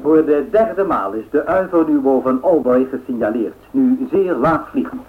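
A formal newsreader acted by a man in a radio play delivers grave bulletins in a measured way. The sound is tinny and phone-like, with loud noise all through. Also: medium pitch, animated, natural voice. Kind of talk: scripted news bulletin in radio drama.

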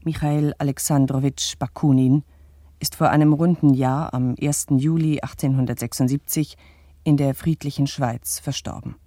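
An actor playing a pompous, indignant bourgeois narrator of the 1870s, addressing the public in formal, old-fashioned, declamatory phrases in a radio play. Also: male voice, mid-range voice, fairly even intonation, normal speed, mellow, no echo, clean studio sound, natural voice. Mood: solemn.

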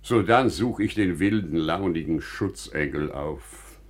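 Wise generous merchant dad in a classic radio play, husky, swings from murmurs to big outcries. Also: male voice, low voice, lively intonation, a little slow. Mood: reflective. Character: generous, impassioned, wise merchant father returning from travels. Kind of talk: classical German radio drama acting.

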